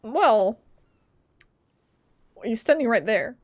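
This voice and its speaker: A woman's voice: a little high, lively intonation, extremely slow. A young woman giving casual live gameplay commentary, playful and teasing in manner. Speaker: game streamer. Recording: game music behind voice.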